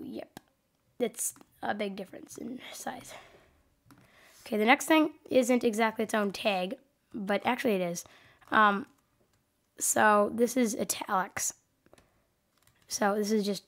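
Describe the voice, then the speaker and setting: A woman's voice: medium pitch, a bit bright. Rambling young woman with a cold. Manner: rambling, hesitant, many ums and self-corrections. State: has a cold, sniffling. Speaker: coding tutorial host. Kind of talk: casual screen-recorded tutorial.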